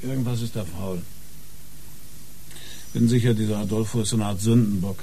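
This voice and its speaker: Actor as gravelly, hard-boiled police inspector. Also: male voice, on the low side, fairly even intonation, moderate pace, dark tone, slight background noise, natural voice, low-quality recording. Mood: brooding. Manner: gruff, curt interrogating. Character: hard-boiled, dogged, short-tempered police inspector in Barcelona. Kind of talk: German radio crime drama acting.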